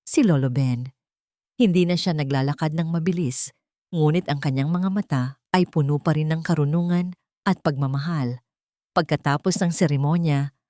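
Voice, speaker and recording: A woman reading a scripted first-person love story as narrator, in a measured, sentimental storytelling manner. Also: fairly low pitch, darkish tone, no room sound, studio quality.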